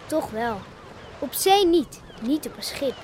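A young girl, the serious and dutiful sister of a family. Her voice is high and earnest. The old radio play recording has clear noise over it. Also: animated, slow, a little mellow. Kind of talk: Dutch radio play acting.

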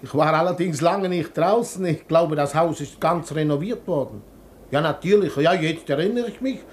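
An actor in a German radio play playing a chatty, flattering hairdresser from Lyon, effusive and obsequious. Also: male voice, fairly high pitch, moderate pace, slight background noise, lightly acted. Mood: startled, backpedalling.

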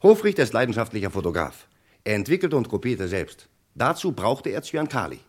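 A man's voice: medium pitch, very animated, a little fast. A man playing an insistent, methodical police commissioner in a German radio crime play, speaking precisely and emphatically. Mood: insistent, assured.

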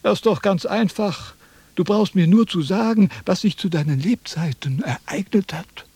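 A haughty philosopher of ancient China in a theatrical radio play. His voice sits somewhat high and mellow, with a husky edge in a dry studio. He lectures with pompous impatience and condescension. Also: normal speed, no room sound. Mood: impatient, probing. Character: pompous, self-important male Chinese sage, condescending and impatient. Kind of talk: theatrical radio drama dialogue.

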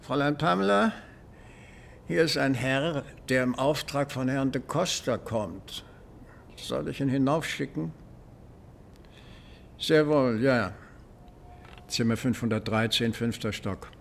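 An actor in a German radio play playing a wary hotel receptionist with a polite, measured, formal manner. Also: male voice, medium pitch, vocal fry, slight background noise. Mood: formal, deferential.

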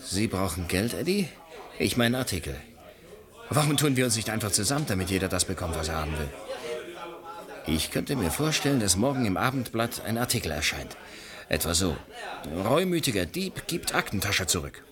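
An actor playing a cocky, ambitious newspaper reporter with a persuasive manner in a radio play. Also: male voice, on the low side, sing-song, moderate pace, a crowd in the background. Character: glib, ambitious, debt-ridden newspaper reporter.

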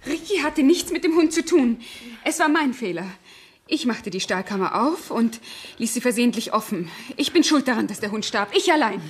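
A woman's voice: fairly high pitch, lively intonation, moderate pace, husky. An actress playing a desperate, guilt-laden woman in a crime radio play, urgent and dramatic, on an old seventies recording. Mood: desperate, protective. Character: desperate, guilty woman shielding a child, then hunted. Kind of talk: 1970s German crime radio play acting. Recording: faintly noisy 1970s radio play recording.

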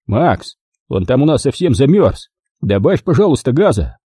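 A man's voice: medium pitch, big pitch swings, normal speed, mellow, slightly rough, no echo, studio quality, pitched above the performer's own voice. A man voicing a stern, sardonic fighter giving wry commands in an audiobook story. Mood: stern ultimatum.

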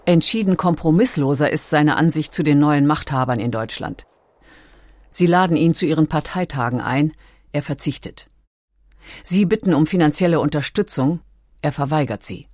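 Low, mellow woman narrator. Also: dry recording, clean studio sound. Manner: sober, measured. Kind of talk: scripted documentary narration.

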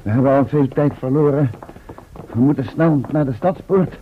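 A man plays a sensible, cautious scientific assistant in a radio drama. His mid-pitched voice is measured and politely formal. The recording is tinny, with noise behind it. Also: close to an everyday voice. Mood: urgent, sober. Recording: tinny, noisy old radio play tape.

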